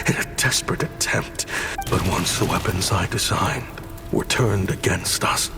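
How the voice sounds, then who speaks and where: A grim, weary avenger from a video game, heavy with remorse. He speaks low and very slowly, halting and brooding, with music faintly behind him. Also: full character voice. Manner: slow, halting, brooding delivery. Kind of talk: dramatic video game voice acting.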